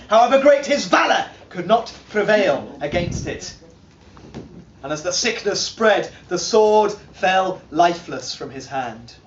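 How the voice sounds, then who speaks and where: A man giving a dramatic reading of an old charm in a large hall, declaiming slowly and swelling to loud peaks. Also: a little high, a little hiss or hum. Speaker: storyteller. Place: large timber hall, indoors. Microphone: camera filming from across the room. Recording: distant, roomy and dull.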